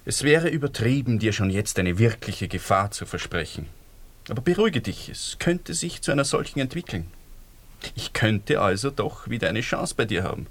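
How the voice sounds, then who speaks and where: Lowish, dryly ironic Austrian man. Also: very animated, normal speed. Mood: ironic, wistful. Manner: dry, ironic, educated. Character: ironic, melancholy man in danger, hopelessly in love. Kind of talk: radio drama acting.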